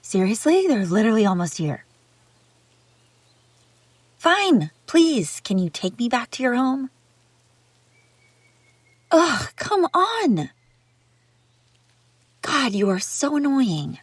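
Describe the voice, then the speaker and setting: A woman's voice: a little high, very animated, takes it slowly, long pauses, husky, no echo, full character voice. A woman playing a haughty, bratty young runaway princess in a roleplay audio drama, sarcastic and bickering with the listener. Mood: panicked, exasperated.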